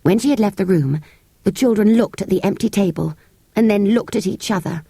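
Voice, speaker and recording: A woman with a British accent narrates a children's story in an expressive, measured storytelling manner. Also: mid-range voice, gravelly, breathy edge, no echo. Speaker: audiobook narrator. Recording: close, dry cassette tape recording.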